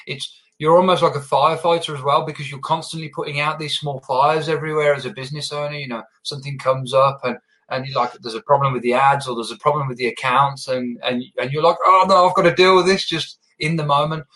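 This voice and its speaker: A man speaks as a podcast host in an upbeat, conversational interview style. His voice is bright and forward, with a clear edge to it. He addresses both guest and listeners directly. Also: mid-range voice, level tone, quick pace, low-quality recording. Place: based in Melbourne, live online podcast.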